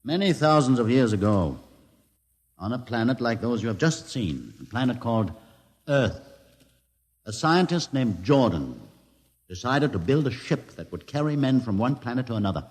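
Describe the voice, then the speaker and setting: An actor playing a grave, learned mutant leader with a twisted leg, in a 1950s radio drama. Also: male voice, mid-range voice, big pitch swings, a little mellow, slightly rough. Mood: solemn. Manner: solemn, measured storyteller. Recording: old 1950s radio broadcast with long reverb.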